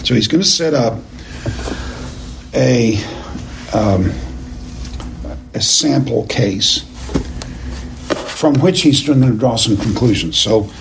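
A man teaching a Bible class verse by verse, speaking in a deliberate, halting and emphatic way and putting questions to his listeners. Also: medium pitch, lively intonation, creaky, noisy background. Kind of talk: expository lecture to a class, verse by verse.

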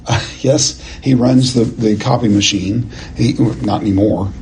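A man teaching a Bible class verse by verse, speaking in a deliberate, halting and emphatic way and putting questions to his listeners. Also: fairly low pitch, steady tone, creaky, darkish tone, slight background noise. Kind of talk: expository lecture to a class, verse by verse.

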